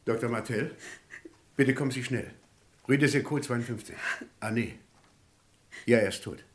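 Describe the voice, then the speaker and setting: A man's voice: mid-range voice, moderate pace, close to an everyday voice. A man playing a helpful, chatty neighbour in an old German radio crime drama. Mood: urgent. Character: helpful, chatty male neighbour in a Paris apartment house. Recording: noisy old radio recording.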